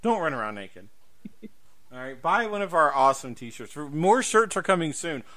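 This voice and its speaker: A man's voice: on the high side, sing-song, normal speed, pushed and bright. A man co-hosting a podcast in casual promotional chat, jokey, exaggerated and rambling. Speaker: podcast host.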